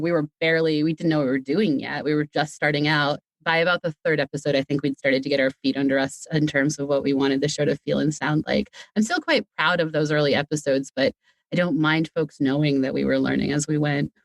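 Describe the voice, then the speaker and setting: A podcast guest, a writer and director, telling stories in a chatty, animated way in a casual interview. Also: medium pitch, quick pace, no room sound.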